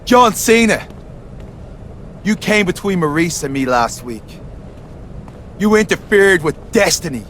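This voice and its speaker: A slow, brooding warrior wrestler. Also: male voice, sits high, expressive, background noise. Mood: menacing. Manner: slow, grandiose threats. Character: menacing, brooding male Celtic warrior wrestler. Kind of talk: scripted wrestling game cutscene acting.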